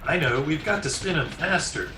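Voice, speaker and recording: A man's voice: a little high, lively intonation, moderate pace, background noise, lightly acted. A man playing an eager, oblivious teenage schoolboy in old-time radio comedy style. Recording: roomy remote video-call recording.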